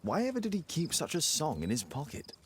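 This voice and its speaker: An actor playing a composed, analytical British detective in a video game, with a precise, deductive manner. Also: male voice, fairly high pitch, huge pitch swings, a little fast, slight background noise. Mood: composed, brisk. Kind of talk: dramatic video game dialogue.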